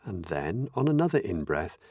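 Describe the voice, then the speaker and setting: Low-voiced man gently guiding a meditation. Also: sing-song, breathy edge, no echo, studio quality. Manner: gentle, measured, unhurried with long pauses. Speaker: meditation teacher. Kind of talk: guided meditation, slow spoken instructions.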